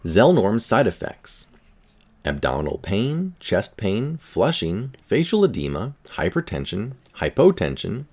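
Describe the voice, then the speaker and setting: A man with a low voice reads a scientific article aloud. His intonation moves around a lot, and his delivery is informal, like someone reading for listeners and commenting along the way. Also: big pitch swings, unhurried, darkish tone, slightly rough. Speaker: article reader.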